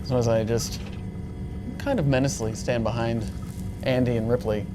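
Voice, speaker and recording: A man's voice: medium pitch, fairly even intonation, moderate pace, background noise. A man playing a marine in a casual tabletop roleplay, with clipped, businesslike phrasing. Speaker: tabletop player as marine character. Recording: faint music behind. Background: faint instrumental music.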